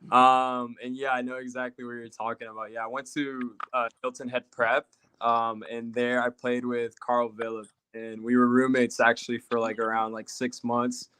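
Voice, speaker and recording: Bright-toned young man, flat delivery. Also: medium pitch, normal speed, smooth, no room sound. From Puerto Rico. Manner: relaxed rambling storytelling with many fillers. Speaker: guest. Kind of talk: casual interview chat.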